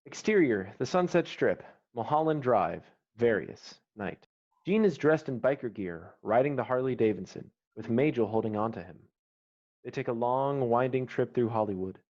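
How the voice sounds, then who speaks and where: A man's voice: mid-range voice, expressive, normal speed, darkish tone. A man reading stage directions and scene headings as the narrator of a screenplay. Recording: dull, slightly muffled online call audio.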